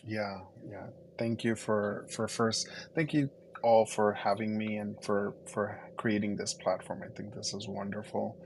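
Low gravelly man from the Dominican Republic. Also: flat delivery, a little slow, slight background noise, poor audio. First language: Spanish. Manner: reflective, many fillers and restarts. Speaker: podcast guest. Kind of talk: conversational interview storytelling.

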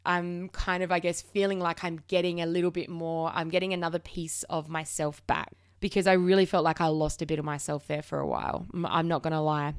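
Frank, low-voiced woman podcaster. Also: level tone, fairly quick, few pauses, smooth, dry recording, clean studio sound. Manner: frank and open, swears casually, frequent restarts. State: has a slight cold. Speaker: podcast host. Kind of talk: candid solo podcast chat.

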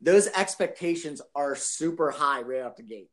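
Higher-pitched male podcast co-host, opinionated. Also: lively intonation, normal speed. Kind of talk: casual podcast discussion.

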